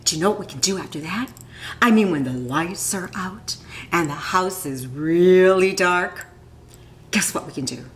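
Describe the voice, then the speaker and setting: A woman voicing an eager, excitable young boy full of plans in a storybook, in a room with echo. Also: fairly low pitch, normal speed, slight background noise. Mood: spooky, hushed. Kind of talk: storybook character reading. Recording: roomy, echoing room sound.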